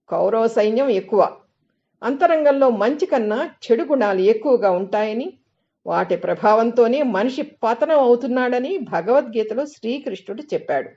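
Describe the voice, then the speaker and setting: A woman reading a scripted spiritual essay as narration, with measured, deliberate phrasing and pauses. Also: on the high side, warm tone, muffled recording. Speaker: reader of literary excerpts.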